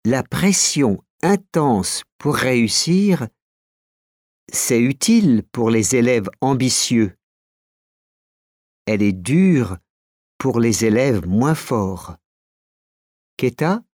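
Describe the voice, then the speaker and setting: A man giving a careful, clearly articulated scripted reading for a language course. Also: mid-range voice, sing-song, drawn-out words, pauses often, a little mellow, dry recording, clean studio sound. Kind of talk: slow scripted reading for learners.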